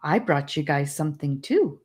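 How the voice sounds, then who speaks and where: A woman's voice: sits low, lively intonation, moderate pace, a little mellow. A woman voicing a composed, assertive young girl in an audiobook, talking plainly. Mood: pleased. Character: composed, appreciative young girl, sometimes bossy. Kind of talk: audiobook character voice.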